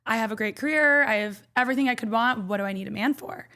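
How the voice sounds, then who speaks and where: A young woman hosting a podcast interview, chatty and lively with quick asides. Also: a little high. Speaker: podcast host.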